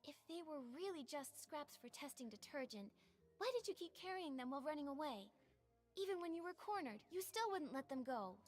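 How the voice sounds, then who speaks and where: A woman's voice: high-pitched, lively intonation, brisk, faint music behind. An actress playing a determined female investigator in a video game, speaking in an articulate, insistent, accusatory way. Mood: pressing. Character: determined young female investigator pressing a suspect.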